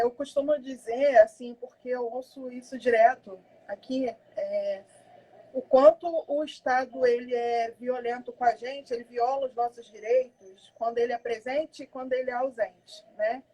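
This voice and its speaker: A woman speaks in a high but mellow voice. She talks with urgent passion, leaning hard on key words. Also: rough recording. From Brazil. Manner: impassioned, emphatic. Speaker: community activist guest. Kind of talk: conversational interview.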